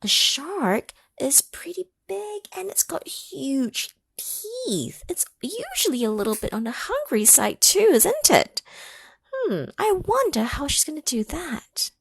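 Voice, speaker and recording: A woman reading a picture book aloud to children, pausing to ask them questions and sometimes dropping to a whisper. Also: sits high, vocal fry, no room sound. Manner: animated, asks the listening children questions; drops into whispers for effect. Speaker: children's story reader. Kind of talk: read-aloud storytime for children.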